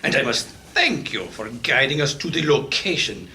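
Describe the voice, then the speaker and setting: A theatrical radio-play villain. He is taunting and murderous, his voice sharp and forceful, his pitch swinging widely as he gloats. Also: very animated, normal speed, slight background noise, full character voice. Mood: smug menace. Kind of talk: live stage radio play acting.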